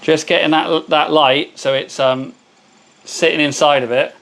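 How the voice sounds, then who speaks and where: A hoarse man hosting a casual live stream, chatting and greeting viewers by name. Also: on the high side, steady tone, a little slow, fairly bright tone. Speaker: livestream host.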